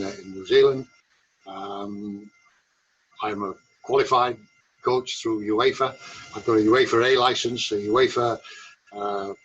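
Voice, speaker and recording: A man's voice: on the low side, fairly bright tone, breathy edge. A man over seventy-five with an English accent, speaking as a veteran football coach in a reflective, conversational interview. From England. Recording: slightly dull, lo-fi sound.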